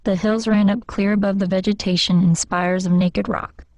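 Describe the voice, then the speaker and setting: A woman's voice: medium pitch, little pitch movement, moderate pace, a little mellow, no room sound. Woman reading a scripted speech sample. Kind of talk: read sentence, speech demo sample.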